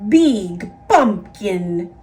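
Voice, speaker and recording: A woman voicing a proud, boastful male vampire in a children's storybook, with pompous, drawn-out delivery. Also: mid-range voice, sing-song, mellow, far from the mic.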